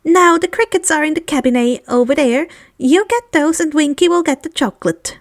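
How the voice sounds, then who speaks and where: A woman's voice: sits high, a little fast, voice pushed up. A woman voicing a small female house elf, bossy and affectionate, who talks in broken third-person grammar in a storybook reading. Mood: chatty, eager.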